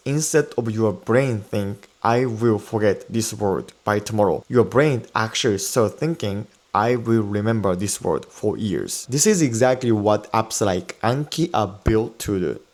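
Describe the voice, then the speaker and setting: A man's voice: fairly low pitch, a little mellow. A Japanese man, a native speaker with a Japanese accent, explains study tips in an earnest step-by-step video lesson. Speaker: Japanese teacher.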